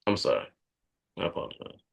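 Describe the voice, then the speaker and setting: A man acting a guarded corporate operative on a date in a conversational script read, speaking in a halting, evasive way. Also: dull audio, lightly acted. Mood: gentle, awkward. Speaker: actor at a live script read. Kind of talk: conversational screenplay table read.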